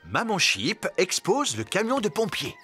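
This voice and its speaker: A man's voice: high voice, wildly swooping pitch, measured pace, over music, heavily acted. A man narrating a children's cartoon from a script in French.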